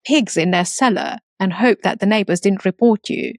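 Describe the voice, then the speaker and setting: A woman's voice: mid-range voice, dry recording, clean studio sound. A woman born in Romania, a guest entrepreneur speaking earnestly in a casual interview, often stopping and restarting her sentences. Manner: earnest, halting with many restarts.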